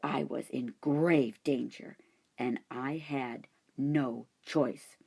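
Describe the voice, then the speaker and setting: A woman reading a children's chapter book aloud in an expressive storytelling manner. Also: a bass voice, drawn-out words, gravelly, slightly rough. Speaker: audiobook reader. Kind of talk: children's audiobook narration.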